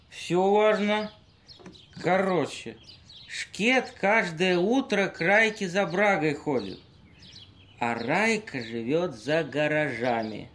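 A man playing a drunken, pompous homeless man in an amateur audio play, halting and stumbling over long words. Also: sits high.

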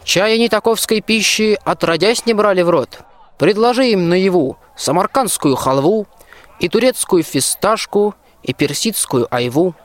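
A young man playing a bold, plain-spoken soldier in a rhymed folk-tale radio play, with a declamatory folksy delivery. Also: sits high. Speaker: student actor in a school radio play.

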